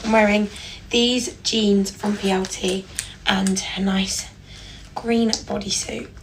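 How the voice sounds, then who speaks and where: Young woman, casual giggly vlogger, mid-height voice, slips into soft whispers. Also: medium pitch, steady tone, takes it slowly, background noise. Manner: chatty and giggly, drops to a soft near-whisper at times. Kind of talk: casual get-ready-with-me chat.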